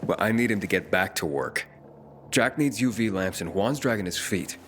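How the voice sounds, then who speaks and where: A guarded young male fighter from a video game, played by an actor. His voice is on the low side, terse and blunt, with faint ambient noise behind it. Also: moderate pace, a bit bright, lightly acted. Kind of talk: video game dialogue acting.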